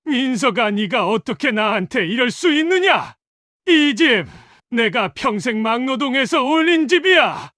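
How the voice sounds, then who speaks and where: Man doing angry old father over seventy, shouting, very high pitch, swinging pitch, dry sound. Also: sing-song, unhurried, no echo, full character voice. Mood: outraged. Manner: shouting, indignant. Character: betrayed old father in his seventies, furious. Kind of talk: audio drama acting.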